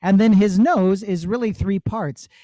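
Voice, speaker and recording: Male puppeteer, middle-aged, high voice, casual teaching delivery for young listeners. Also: unhurried, mellow, dry recording. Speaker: puppeteer teaching a master class. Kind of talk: casual explaining to children.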